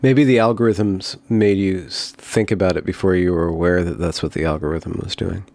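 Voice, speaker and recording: A man hosting an interview over a video call, asking questions in a measured way while searching for words. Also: sits low, fairly even intonation, gravelly, darkish tone, no echo. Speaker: host.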